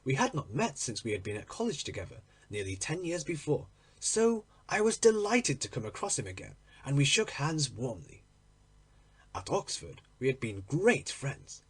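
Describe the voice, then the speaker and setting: A man reading a literary story aloud in an expressive, measured, deliberate narrating style. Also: mid-range voice, slightly rough. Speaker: audiobook reader.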